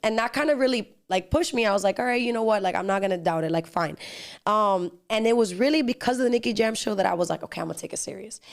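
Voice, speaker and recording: A young woman with a Dominican accent and a raspy voice, chatting as an interview guest and telling stories with lots of fillers. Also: medium pitch, lively intonation. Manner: rapid chatty storytelling with many fillers. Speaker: guest, singer and actress. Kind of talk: casual interview chat.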